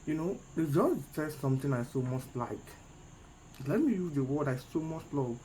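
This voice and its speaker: Very animated commentator over background noise. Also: mid-range voice, normal speed, low-quality recording. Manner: animated, emphatic, repeats phrases for stress. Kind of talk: reaction commentary on a court case.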